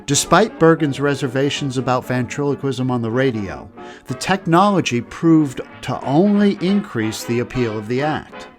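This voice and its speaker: A man's voice: medium pitch, normal speed. Man narrating a documentary over music. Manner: even, informative storytelling. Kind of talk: scripted narration.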